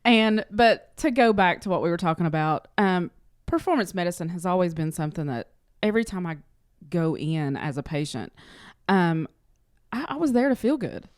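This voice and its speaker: Woman on the lower side, chatty guest telling little stories, really dry close sound. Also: animated, normal speed, no echo. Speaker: interview guest, clinic front office worker. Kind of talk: casual chat, telling anecdotes.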